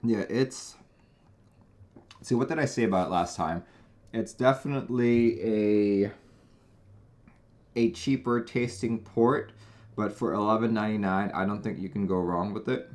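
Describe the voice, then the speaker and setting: A man from Taiwan hosting a casual live stream, talking in a chatty, relaxed way with frequent small restarts. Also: fairly low pitch, a little slow, pauses often. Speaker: host.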